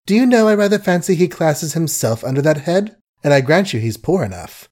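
A man's voice: a little high, lively intonation, brisk, a little mellow, voice pushed up. A man voicing a shrewd, worldly society woman in an audiobook, with an earnest, emphatic and dramatic delivery. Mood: playful, scornful. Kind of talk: audiobook character acting.

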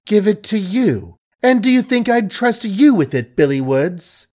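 A man's voice: mid-range voice, expressive, normal speed, natural voice. A man voicing a scornful, tearful young woman who berates her suitor in emphatic, repetitive rants, in a storybook reading. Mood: angry, tearful. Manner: emphatic, repetitive, ranting and mocking.

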